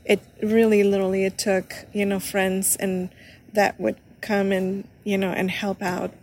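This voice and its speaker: A woman's voice: mid-range voice, little pitch movement, a little slow, a little mellow, a little hiss or hum. A woman giving a casual interview as a teacher and author, hesitant and repeating phrases as she searches for words.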